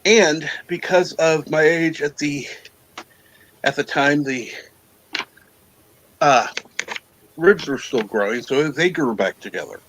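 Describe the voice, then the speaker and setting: A man in his fifties speaks slowly on a call, his voice a little higher than most men's. He searches for his words and fills the gaps with pauses and fillers as he explains things. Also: fairly bright tone, slight background noise, poor audio. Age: 50s. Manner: slow and hesitant, lots of fillers. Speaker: guest on a call. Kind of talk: casual chat.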